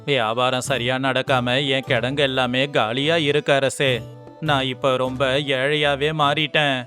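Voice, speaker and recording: A man playing a rich, miserly merchant in an animated moral story, sly and obsequious, protesting in a whining way. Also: mid-range voice, measured pace, soft background music. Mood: defensive protest.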